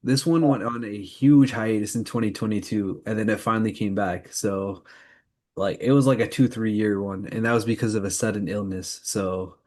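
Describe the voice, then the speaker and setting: Low-voiced man co-hosting a podcast. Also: fairly even intonation, moderate pace, darkish tone. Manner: informal, leads the segments. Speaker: podcast co-host. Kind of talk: casual news roundup chat about anime.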